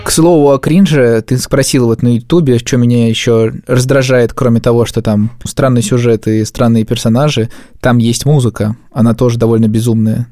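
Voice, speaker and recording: A man's voice: medium pitch, steady tone, normal speed, hardly any pauses, warm tone, no echo, clean studio sound. A man in his thirties hosting a podcast, talking in a casual, conversational way. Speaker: podcast host. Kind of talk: casual chat.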